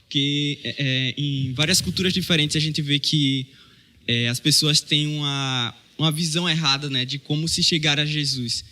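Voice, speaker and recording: A man with a Brazilian Portuguese accent, a mission trainee talking earnestly on a podcast, often pausing and restarting his sentences. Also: a little high, fairly even intonation, bright, forceful tone. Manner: earnest, hesitant with many fillers and restarts. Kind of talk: casual podcast chat.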